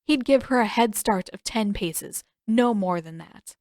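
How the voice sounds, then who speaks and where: A woman's voice: medium pitch, animated, a little slow, a little mellow, no echo, studio quality. A woman reading scripted story narration as an audiobook narrator.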